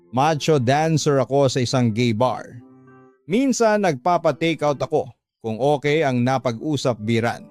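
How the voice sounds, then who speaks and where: A man's voice: mid-range voice, a little mellow, lightly acted. A man playing a frank, earnest young working-class older brother who admonishes and confides in a radio drama.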